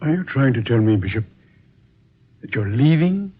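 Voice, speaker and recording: An actor playing a blunt, blustering publishing boss in a vintage radio drama, folksy and exasperated, with slangy exclamations. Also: male voice, mid-range voice, very animated, normal speed, breathy edge. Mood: incredulous, exasperated. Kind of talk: 1940s radio drama acting. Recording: dull, muffled vintage radio recording.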